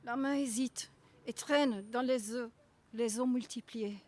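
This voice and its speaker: Higher-voiced woman with deliberate, dramatic phrasing. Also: a little mellow. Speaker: poetry translator and reader. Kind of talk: introduction and expressive poetry reading. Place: outdoors at a gallery event.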